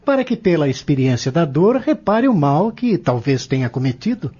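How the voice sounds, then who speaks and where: Actor as earnest higher-voiced young Brazilian man. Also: big pitch swings, normal speed, mellow, husky, highs cut off. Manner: earnest, respectful. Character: earnest, devout young man eager to learn. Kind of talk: audio drama dialogue.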